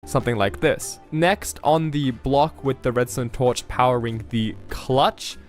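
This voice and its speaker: A man's voice: medium pitch, unhurried, faint music behind. A man explaining game-building steps one by one, speaking directly to the viewer. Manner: instructive, addresses the viewer directly. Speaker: tutorial narrator. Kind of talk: step-by-step game tutorial narration.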